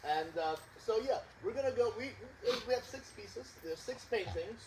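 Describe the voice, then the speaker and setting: A man's voice: high voice, expressive, moderate pace. A man hosting a small outdoor concert, talking in a rambling, self-deprecating way with many fillers. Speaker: concert co-director and host. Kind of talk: casual spoken introduction at a live concert. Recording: distant outdoor recording with background noise.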